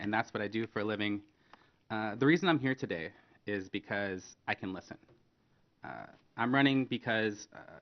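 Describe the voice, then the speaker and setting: A man in his thirties from North Dakota, a candidate giving an earnest, plain-spoken opening statement. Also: on the low side. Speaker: political candidate. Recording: dull lo-fi broadcast audio.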